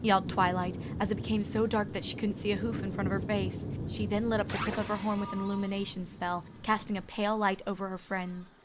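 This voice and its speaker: A woman's voice: medium pitch, little pitch movement, fast talker, hardly any pauses, very noisy. A woman reading scripted story narration as the narrator.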